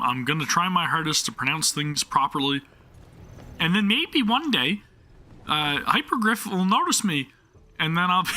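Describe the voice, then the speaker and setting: A man's voice: sits high, sharp tone. A young man chatting through a live game stream, self-deprecating and often restarting his sentences. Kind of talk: live gameplay commentary.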